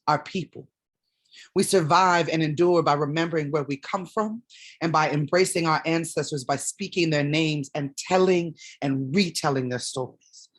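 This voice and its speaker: A woman playing a solemn storyteller in a staged reading of a play, with measured, declamatory delivery. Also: low voice. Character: solemn storyteller passing on ancestral wisdom, then urgent.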